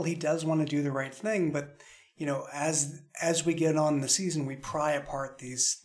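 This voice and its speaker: Higher-voiced man, thoughtful creator talk. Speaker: audio drama creator. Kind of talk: reflective discussion of own writing.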